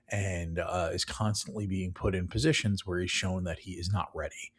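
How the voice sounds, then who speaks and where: Male film reviewer, low voice, casual and rambling with filler words. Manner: rambling, many ums and restarts.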